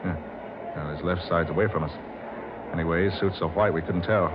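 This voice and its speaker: A man's voice: deep voice, a little fast, over music, lightly acted. An actor playing a confident circus performer in old radio drama style, casual and reassuring in his talk. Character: confident, easygoing male circus performer named Barker. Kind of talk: 1940s radio drama acting. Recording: noisy, muffled old radio recording.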